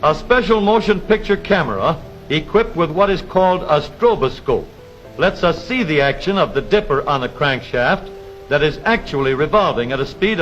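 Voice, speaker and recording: A man narrating a scripted 1930s educational film in a measured, formal announcer's delivery with precise, explanatory phrasing. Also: a little high, a bit bright. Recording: dull vintage 1930s film soundtrack, music behind.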